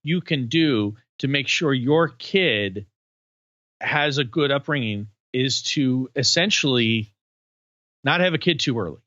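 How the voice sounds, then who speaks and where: A man hosting a candid podcast conversation, working his thoughts out slowly with lots of restarts and "you know"s. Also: mid-range voice, expressive, sharp tone, no echo, highs cut off. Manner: halting and searching, many restarts and fillers. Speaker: podcast host.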